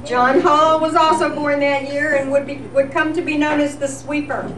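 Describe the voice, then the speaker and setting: A woman's voice: high-pitched, flat delivery, normal speed, crowd noise. A woman reading scripted, nostalgic narration as a presenter at a volunteer appreciation event. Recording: echoey room, distant mic, background noise.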